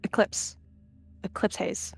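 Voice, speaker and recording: A woman's voice: takes it slowly, close to an everyday voice. A woman voicing a young, anxious and huffy female clan cat in a roleplay, hesitant and whiny. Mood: wary, salty.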